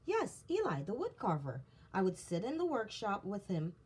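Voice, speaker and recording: A woman's voice: mid-range voice, huge pitch swings, moderate pace, husky. A woman voicing a carefree, confident wooden puppet girl in a children's storybook, breezy and matter-of-fact.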